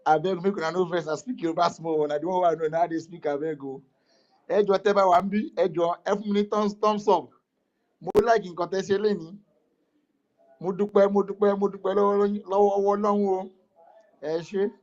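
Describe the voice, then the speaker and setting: A man's voice: high-pitched, darkish tone, muffled recording. A Nigerian man giving an impassioned speech in an online audio room, emphatic and repetitive, firing rhetorical questions.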